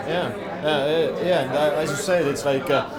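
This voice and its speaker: A male interviewer asks questions in a slightly high voice, hesitating and padding with fillers. A crowd chatters loudly around him. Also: steady tone, normal speed. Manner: hesitant, many fillers and encouraging murmurs. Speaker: festival interviewer. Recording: busy crowd noise behind.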